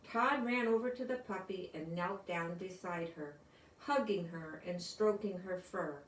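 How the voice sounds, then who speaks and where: Slow-reading older woman, echoey room. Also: lowish voice, a little hiss or hum. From United States. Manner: simple, explanatory, addressing young listeners. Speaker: storybook reader. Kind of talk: read-aloud children's story with asides. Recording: roomy echo, distant microphone.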